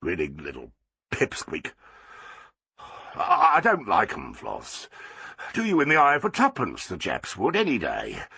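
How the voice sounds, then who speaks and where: A male reader voicing a grumpy, embarrassed husband who mutters low. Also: huge pitch swings, normal speed, vocal fry, bright, forceful tone, slightly rough, no echo, exaggerated voice. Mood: grumbling.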